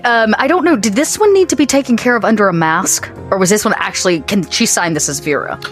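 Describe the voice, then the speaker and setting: A woman's voice: medium pitch, lively intonation, fast talker, soft background music. A woman, talking casually and improvising narration as a player in a tabletop game. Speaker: player in actual play podcast.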